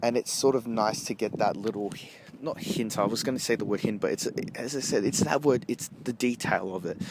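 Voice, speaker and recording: Hesitant man reviewing, noisy street behind. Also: on the low side. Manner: hesitant, halting, often rephrasing. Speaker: amateur film reviewer. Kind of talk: casual rambling movie review. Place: outdoors, walking along a street. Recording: noisy outdoor street with traffic. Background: street and vehicle noise.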